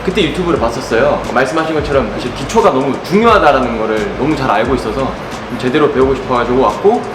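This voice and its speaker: A man's voice: mid-range voice, lively intonation, quick pace, over music. Hesitant man, distant echoey mic. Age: 30s. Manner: hesitant, uses fillers. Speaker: boxing student. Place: boxing gym.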